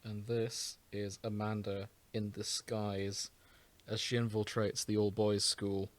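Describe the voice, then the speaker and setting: A man's voice: fairly low pitch, little pitch movement, slow. A man co-hosting a podcast, chatting casually about fan fiction. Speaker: podcast co-host.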